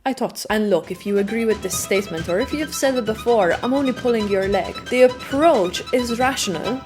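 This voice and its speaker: A woman's voice: a little high, brisk. A woman reading scripted video essay narration, addressing the listener directly with a wry manner. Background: clear background music.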